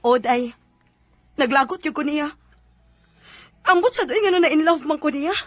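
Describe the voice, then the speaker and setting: Heartbroken young woman, high-voiced. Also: animated, normal speed, a bit bright, no echo. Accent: Cebuano Filipino. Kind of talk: Filipino radio drama acting in Cebuano.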